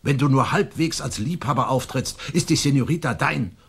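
An actor in a German radio play, playing a proud, domineering old colonial nobleman and stern father with a husky voice and an imperious, emphatic delivery. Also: medium pitch, normal speed, fairly bright tone, full character voice. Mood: indignant. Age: older.

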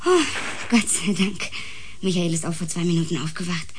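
A woman's voice: lowish voice, normal speed, background noise, close to an everyday voice. A woman playing an anxious, frightened housewife in a radio drama, speaking urgently and stammering over repeated words. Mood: relieved, nervous. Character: anxious housewife Gabi, increasingly frightened and pleading.

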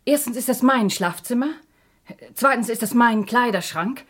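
A woman playing an indignant, strong-willed mother in a German radio crime drama, husky and emphatic. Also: fairly high pitch, moderate pace. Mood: indignant, defensive.

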